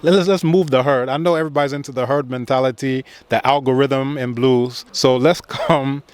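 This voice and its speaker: A middle-aged man, a father interviewed about his work, gives a forceful, preachy speech full of rhetorical questions. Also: medium pitch, no echo. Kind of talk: impassioned advocacy speech.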